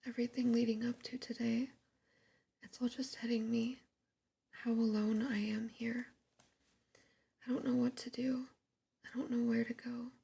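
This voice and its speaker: A woman whispering the lines of a distraught, frightened young woman influencer, in a breathy voice and a rushed, pleading manner. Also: a little high, level tone, dark tone, heavily acted. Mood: desperate.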